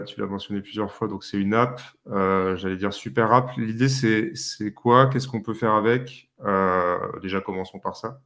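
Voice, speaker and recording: Low-voiced male podcast host. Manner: relaxed and friendly, with frequent hesitations. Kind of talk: casual conversational interview.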